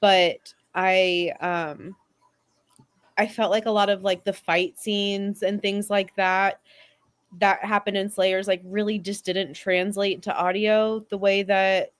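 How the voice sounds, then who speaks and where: A woman's voice: mid-range voice, flat delivery, slow pace, smooth. A woman chatting casually as a panelist and convention staffer. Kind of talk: casual chat.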